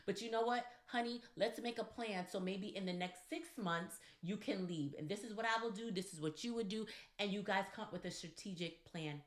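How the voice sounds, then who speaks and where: A woman co-hosting a casual podcast with her husband, talking straight to the listeners in an animated, preachy way. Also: mid-range voice, brisk, hardly any pauses, roomy sound. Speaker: co-host.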